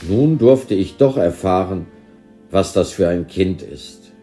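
A man voicing a gentle old innkeeper, full of wonder and awe, in a storybook. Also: low voice, lively intonation, slow, soft background music.

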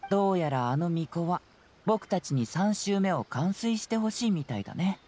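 A man voicing an earnest, composed young man in an anime fantasy game, explaining things clearly. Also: high voice, expressive, moderate pace, dark tone, a little hiss or hum. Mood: thoughtful.

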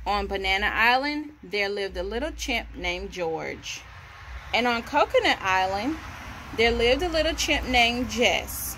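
A woman's voice: on the high side, animated, measured pace, sharp tone, slight background noise, rough recording. A woman in her thirties reading a children's story aloud on a porch. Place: outdoors on a covered house porch. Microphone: camera or phone at about arm's length.

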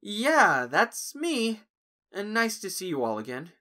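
A man's voice: high-pitched, sing-song, takes it slowly, bright, forceful tone, heavily acted. A man playing a nervous but clever young human in a cartoon audio drama, hesitant and wry.

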